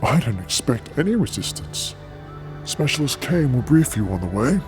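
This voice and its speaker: A man playing a cold, powerful corporate leader who speaks with measured authority in a sci-fi audio drama. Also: medium pitch, very animated, music in the background, natural voice.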